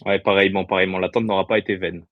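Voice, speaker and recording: A man in his twenties from the Paris region talks casually as an interview guest. His voice is on the low side. The sound is dry, with hardly any room in it. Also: flat delivery, racing, no echo, phone-quality sound. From Paris region, France. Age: 20s. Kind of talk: casual chat.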